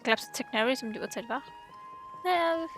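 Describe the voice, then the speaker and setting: A woman's voice: high voice, animated, quick pace, fairly bright tone. A woman giving casual commentary as host of a video game let's play. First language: German. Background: game music.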